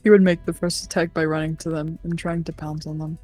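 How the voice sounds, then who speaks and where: A man's voice: on the high side, little pitch movement, a little fast, darkish tone, faint music behind. A man narrating his character's moves in a plain roleplay style.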